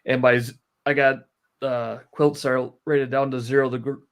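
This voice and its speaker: A man, explaining things in a practical, low-key way as a guest in a casual interview. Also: flat delivery, unhurried, clean tone. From northern Wisconsin, United States. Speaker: guest, outdoor amateur radio operator. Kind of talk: casual live stream interview.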